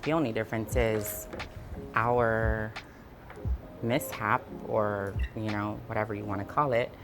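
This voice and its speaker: A woman's voice: very deep voice, steady tone, measured pace. A woman from Guam talking casually and reflectively in an interview, candid and conversational, with emphasis on key words. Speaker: interviewee, freelance designer and builder. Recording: background music under the voice. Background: music.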